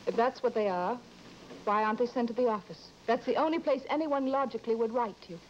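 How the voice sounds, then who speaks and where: An actress playing a worried, insistent wife in a vintage broadcast drama, speaking in an earnest, pressing, emotional way. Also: female voice, fairly high pitch, quick pace, natural voice. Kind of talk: vintage mid-century broadcast drama acting. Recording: dull, noisy vintage broadcast recording.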